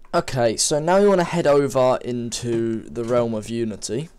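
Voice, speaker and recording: A man's voice: medium pitch, a little mellow, smooth. A man with a cold and a slightly sniffly voice, talking casually through a coding tutorial at his computer and often correcting himself. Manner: informal, rambling, self-correcting while typing. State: has a cold, a bit sniffly. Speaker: game development tutor. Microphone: desk microphone.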